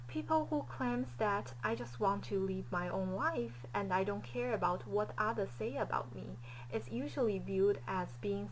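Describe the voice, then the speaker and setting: A woman's voice: mid-range voice, rough recording. A woman from China, a native Chinese speaker with a Chinese accent, giving a scripted student presentation. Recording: faint hum behind voice.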